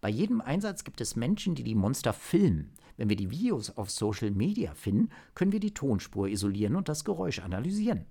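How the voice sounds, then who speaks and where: An eager, inventive young-team tech agent, acted by a male narrator in an audiobook story. He explains technical matters earnestly, his pitch raised and very lively. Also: fairly quick, vocal fry, few pauses. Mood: excited.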